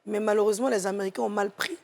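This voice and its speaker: A woman's voice: mid-range voice, fairly even intonation, rapid-fire, creaky, darkish tone. A woman with an Ivorian accent talking casually as a panelist, colloquial and outspoken. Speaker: talk show panelist and presenter.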